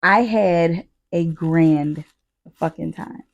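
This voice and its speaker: An American woman co-hosting a podcast, telling stories casually in slangy, profane, animated talk with quoted asides. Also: lowish voice, drawn-out words, lo-fi sound. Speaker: podcast co-host. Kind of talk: casual chat, storytelling.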